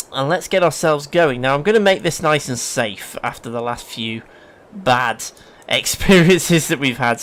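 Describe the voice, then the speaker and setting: A man's voice: mid-range voice, a bit bright, a little hiss or hum. An English man with a sniffly hay-fever nose gives casual, chatty, self-deprecating let's play commentary as a gaming host. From England.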